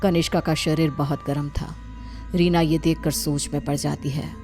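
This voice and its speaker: A woman's voice: deep voice, flat delivery, normal speed, dark tone. A woman narrating a scripted story, with music behind her voice.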